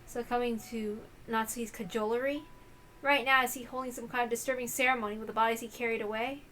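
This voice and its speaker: A woman's voice: a little high, fairly even intonation, quick pace, sharp tone, slight background noise. A woman reading a visual novel aloud as dramatic scripted narration.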